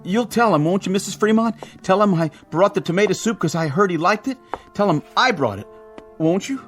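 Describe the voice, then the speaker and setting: Stammering, fawning delivery man, swooping pitch. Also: expressive, brisk, faint music behind. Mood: anxious, friendly. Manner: stammering, placating, nervously over-agreeable. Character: frightened, fawning small-town grocery delivery man. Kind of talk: audio drama acting.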